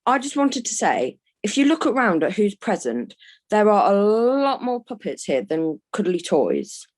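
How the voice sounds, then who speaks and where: A woman's voice: mid-range voice, sing-song, a little slow, darkish tone. A woman playing a bumbling, forgetful wolf puppet, hesitant and muddled, in a scripted comedy. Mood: flustered.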